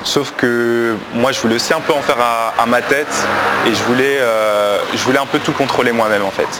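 A man's voice: medium pitch, fairly even intonation, moderate pace, heavy background noise. A man in his twenties from Belgium giving an unscripted personal testimony, hesitant and often searching for his words. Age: 20s.

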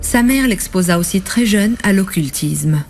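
A woman's voice: medium pitch, animated, a little slow, a little mellow. A female narrator with a mid-range voice reads a scripted documentary account. Music plays faintly behind her voice. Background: background music.

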